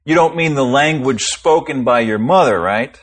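A man's voice: medium pitch, normal speed. A man who grew up speaking English, co-hosting a scripted English lesson and asking friendly questions. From United States. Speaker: co-host.

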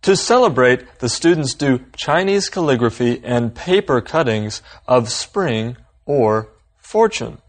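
Man, lesson lead, medium pitch, even guiding delivery. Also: unhurried. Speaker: language lesson host. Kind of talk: scripted lesson dialogue.

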